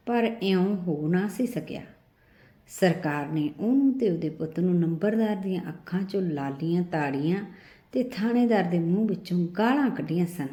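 A woman's voice: mid-range voice, mellow. A woman reading a literary short story as a scripted narration. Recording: roomy echo on the voice.

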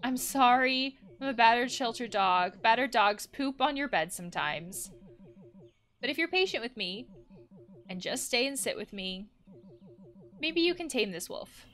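A woman's voice: a little high, animated, measured pace, a bit bright. A woman voicing an anxious, needy guard wolf from a visual novel game, dramatic and pleading, prone to alarm. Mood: earnest, pleading.

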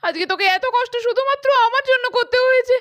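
A woman's voice: very high voice, fast, a bit bright. A woman voicing an emotional middle-aged mother in a puppet cartoon, repeating herself as she pleads. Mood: remorseful. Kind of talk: cartoon voice acting. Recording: background music under the voice.

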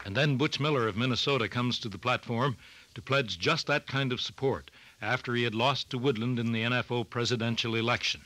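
A man hosting a farm news program, reading scripted narration and interviewing a guest in a measured, formal way. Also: mid-range voice, steady tone, pushed and bright, low-quality recording. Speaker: radio program host.

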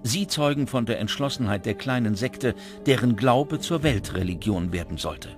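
A man's voice: mid-range voice, expressive, normal speed. A man reading scripted documentary narration as narrator. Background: music under the voice.

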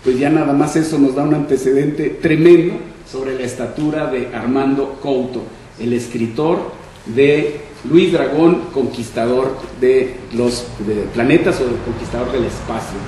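A man in his sixties with a northern Mexican accent, giving a prepared talk as a panel speaker and reading from notes in an expressive, deliberate way. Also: medium pitch. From Monterrey, Mexico. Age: 60s. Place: conference room panel, indoors. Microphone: shared table microphone on stand. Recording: echoey room, distant mic, faint noise.

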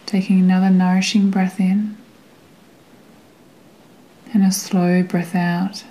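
A woman guiding a meditation in a gentle, soothing way, with a soft, sometimes breathy voice and long pauses between phrases. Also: fairly low pitch, little pitch movement, low-quality recording. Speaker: meditation guide. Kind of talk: guided meditation narration.

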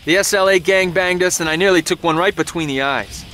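Gritty, sharp-voiced American soldier. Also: male voice, high voice, fairly quick, soft background music. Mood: grim, wry. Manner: colloquial, wry soldier slang. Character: gritty, weary, cocky American male infantry soldier. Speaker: soldier narrator. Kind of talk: first-person game cutscene narration.

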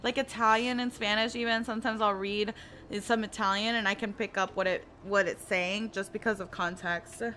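Chatty young woman streamer, bright tone. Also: medium pitch, fairly even intonation, moderate pace, a little hiss or hum. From United States. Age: 20s. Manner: chatty, laughs often, reads chat aloud. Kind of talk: casual chat with stream viewers while gaming.

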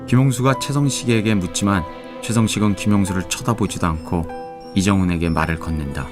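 A man narrating a radio drama in a steady, descriptive way. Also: sits low, a little fast. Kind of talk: scripted narration. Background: background music.

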